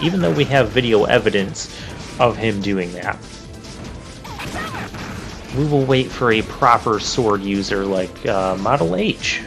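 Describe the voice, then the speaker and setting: A man giving casual let's play commentary on a video game, chatty and informal, thinking aloud as he plays. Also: medium pitch. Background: game music and crashing effects.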